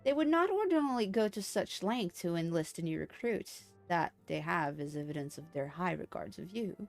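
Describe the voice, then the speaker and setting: A woman's voice: fairly low pitch, animated, creaky. A woman voicing a gracious, formal female leader from a fantasy game, reading in stately phrases. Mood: composed. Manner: formal, measured, stately wording. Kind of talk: video game dialogue read aloud. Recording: game music behind the voice.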